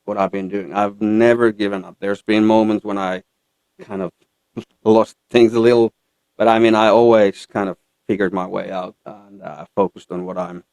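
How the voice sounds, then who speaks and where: Male interview guest, Finnish accent, low resonant voice, halting casual delivery, dry studio sound. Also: flat delivery, gravelly, darkish tone, clean tone, no echo, low-quality recording. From Finland. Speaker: guest country singer-songwriter. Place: radio studio.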